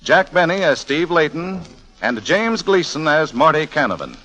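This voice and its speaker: A man's voice: fairly high pitch, sing-song, normal speed, rough recording. An American man reading a scripted show introduction in 1940s radio announcing style, on a vintage recording. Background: loud music.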